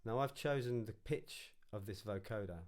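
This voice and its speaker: A man's voice: fairly low pitch, moderate pace. A man narrating an informal music production tutorial, casually thinking aloud as he works.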